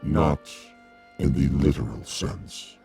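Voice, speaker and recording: An actor playing a courteous, calm alien emissary in a radio drama, speaking formally and with measured detachment as if through a translation machine. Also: male voice, a bass voice, gravelly, soft background music, heavily acted.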